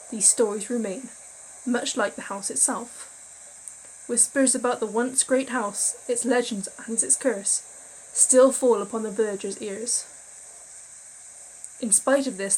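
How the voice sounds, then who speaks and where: A tired young woman reads a game's eerie backstory aloud, chatting between passages. She trips over words and laughs them off in a slightly high voice. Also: moderate pace, darkish tone, breathy edge, low-quality recording. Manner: chatty, self-deprecating, stumbles over words while reading. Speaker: gaming video creator. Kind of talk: reading a visual novel aloud, casual commentary.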